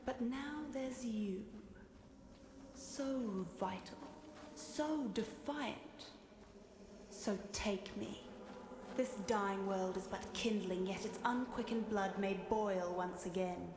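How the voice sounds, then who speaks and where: A woman plays a living fire sword from a video game, a vain and sardonic goddess. She takes her time with every phrase, haughty and teasing. The sound is echoey, with loud noise around her. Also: medium pitch, slow pace, natural voice. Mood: seductive, hungry. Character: sentient fiery sword goddess, female, sly, vain, sardonic. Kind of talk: video game character voice lines.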